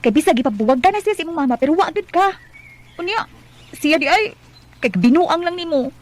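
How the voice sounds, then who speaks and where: Hesitant young Cebuano girl confidante, high voice, radio drama. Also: expressive, brisk. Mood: hesitant, confiding. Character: young female cousin, apologetic, hesitant confidante. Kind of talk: Bisaya radio drama acting.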